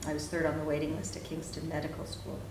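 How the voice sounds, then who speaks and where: Low, flat-voiced woman in her forties. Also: fast talker. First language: Hungarian. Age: 40s. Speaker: assistant crown attorney. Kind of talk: roundtable introduction. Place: meeting room roundtable. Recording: distant mic, echoey room, hiss.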